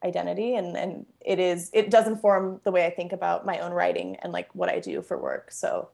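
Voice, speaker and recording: A woman's voice: lowish voice, level tone, a little fast, gravelly, darkish tone. A young woman born in Bangkok and raised in Los Angeles, chatting casually as an interview guest at home, full of fillers and easy laughter. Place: at home, kitchen nearby.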